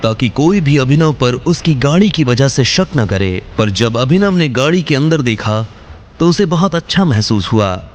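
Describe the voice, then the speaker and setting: Male narrator, medium pitch, animated intonation, scripted dramatic storytelling. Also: normal speed, a little hiss or hum.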